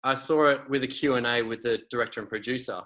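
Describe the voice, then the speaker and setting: A man's voice: medium pitch, fast, bright, forceful tone. A man, hosting a casual conversation and rambling with many fillers and restarts.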